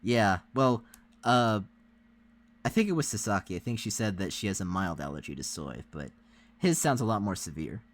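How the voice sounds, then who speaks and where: A man with a somewhat low voice chats as a reaction co-host. He is easygoing and agreeable, commenting casually with some hesitation. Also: animated, normal speed.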